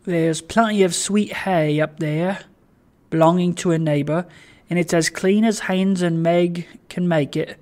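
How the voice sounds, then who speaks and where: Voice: medium pitch, unhurried, a little mellow, lightly acted. A narrator voicing a cheery, kindly, flustered poor old father who rambles eagerly in a storybook reading. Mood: hearty, reassuring. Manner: rambling, eager, stumbling over words.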